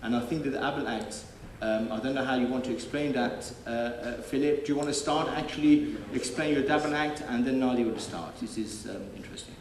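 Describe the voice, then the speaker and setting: A man's voice: medium pitch, brisk, hardly any pauses. A man moderating a panel, introducing speakers with many fillers and restarts. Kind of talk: hesitant live introduction. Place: large echoey hall. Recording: distant mic, echoey hall, faint noise.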